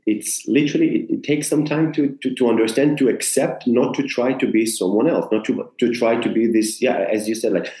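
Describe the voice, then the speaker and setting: Earnest actor with roomy echo. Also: male voice, mid-range voice, steady tone, a little fast, few pauses, warm tone. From Israel. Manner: earnest, searching for words, frequent restarts. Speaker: actor, guest. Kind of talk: conversational interview.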